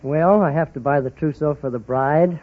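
Middle aged guy on radio quiz, short plain answers, husky, tinny sound. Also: fairly high pitch, normal speed. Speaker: quiz show contestant. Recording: tinny, phone-like old radio recording.

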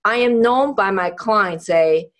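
A woman from Taiwan hosting a podcast in a conversational, personal way, halting as she searches for words in non-native English. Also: medium pitch. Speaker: podcast host. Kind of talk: conversational interview, personal storytelling.

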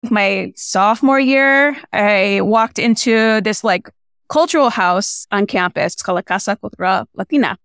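A young woman filmmaker talking casually in an interview, reflective and halting, with many restarts. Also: medium pitch, a bit bright, clean tone, no room sound, studio quality. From United States, small-town Illinois. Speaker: filmmaker guest.

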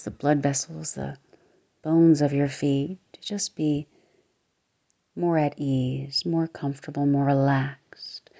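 A woman guiding a relaxation meditation, speaking slowly and soothingly and sometimes sinking into a soft near-whisper. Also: deep voice. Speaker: meditation guide. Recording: slightly dull lo-fi recording.

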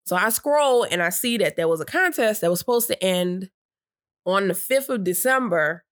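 A woman's voice: mid-range voice, normal speed, dry recording, clean studio sound. A woman co-hosting a casual chat, telling a story with a stuffy nose and catching her breath between rambling sentences. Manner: chatty, downplays excitement, rambling with restarts. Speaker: podcast co-host.

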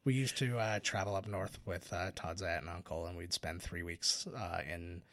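A man's voice: sits low, steady tone, moderate pace, fairly bright tone. A man chatting casually as a podcast co-host, explaining movie trivia in loose, sweary talk. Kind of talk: casual movie commentary chat.

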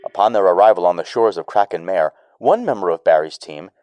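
Man playing a deep, animated documentary narrator. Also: dry recording. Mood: informative. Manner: formal, measured. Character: male documentary reporter narrating a space mission. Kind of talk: scripted news-documentary narration.

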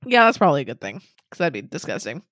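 A young woman co-hosting a podcast with her sister, her pitch swinging widely as she jokes, laughs and swears. Her voice is still slightly rough from a recent cold. The sound is close and dry. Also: big pitch swings, fast, fairly bright tone, dry recording. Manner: laughing, loose, swearing freely. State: recovering from a cold, voice a bit off. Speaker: podcast co-host. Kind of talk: casual chat.

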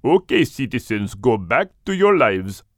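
A man voicing a brisk, commanding animal who keeps order in a children's story. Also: mid-range voice, very animated, no echo, studio quality. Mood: officious. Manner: commanding, dismissive. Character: brisk, officious male animal keeping order.

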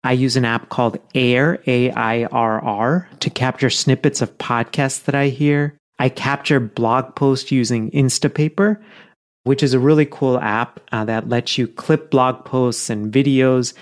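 A man's voice: medium pitch, steady tone, a little slow. A man hosting a solo podcast episode, talking in an earnest, conversational way with frequent fillers and self-corrections. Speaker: podcast host.

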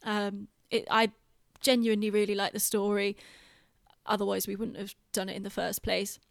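A woman's voice: mid-range voice, level tone, normal speed, creaky, no room sound. British woman in a dry, close recording. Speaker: podcast host and theatre director. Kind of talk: casual podcast chat.

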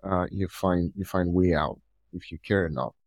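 A man's voice: sits low, normal speed, dry recording. A man from Nigeria with a Nigerian accent, talking casually as co-host of a podcast. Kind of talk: casual conversation.

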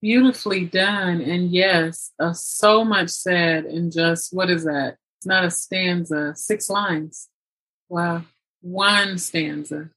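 A woman with a fairly low voice hosts a conversational interview. She talks slowly and takes an appreciative, complimentary tone with her guest. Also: steady tone, a bit bright, poor audio. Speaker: interview host.

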